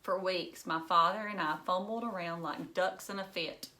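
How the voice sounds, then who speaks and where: A woman's voice: lowish voice, steady tone, normal speed, pushed and bright. A woman reading a children's novel aloud expressively, like a teacher narrating to pupils.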